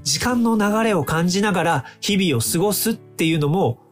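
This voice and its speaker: Eager young-sounding time researcher from the future. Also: male voice, high-pitched, lively intonation, normal speed, soft background music. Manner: polite, eager, chatty. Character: cheerful male time-travel researcher from the future. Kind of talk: radio drama acting.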